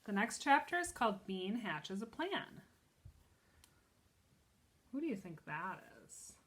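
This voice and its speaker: A woman reads a children's book aloud in a teacher's style. Her mid-range voice is expressive, and she steps out of the story to explain and question. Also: mid-range voice. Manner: expressive, pauses to explain pictures and ask questions.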